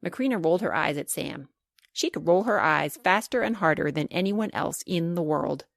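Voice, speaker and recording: A woman reading a children's storybook aloud as scripted narration, expressive and clearly paced. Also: on the low side, moderate pace, no room sound, clean studio sound. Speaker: author reading her children's book.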